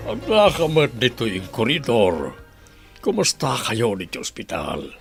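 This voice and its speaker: A man with an Ilocano Filipino accent playing a gruff, irritable but caring old grandfather in a radio comedy-drama. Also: mid-range voice, huge pitch swings, a little slow, soft background music, full character voice. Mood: concerned. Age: older.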